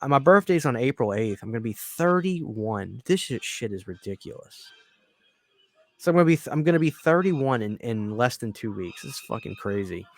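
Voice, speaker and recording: A man in his thirties co-hosting a podcast in casual chat, excitable and swearing a lot, often dropping to low mutters. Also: moderate pace, no room sound. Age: 30s. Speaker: podcast co-host.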